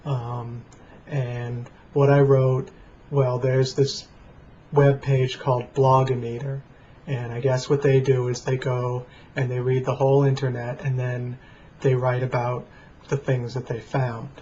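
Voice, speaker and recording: An American man rambling casually and deadpan to camera in a plain, flat voice. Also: mid-range voice, a little slow. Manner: deadpan, dry. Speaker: vlogger. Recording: dull lo-fi webcam sound, faint noise.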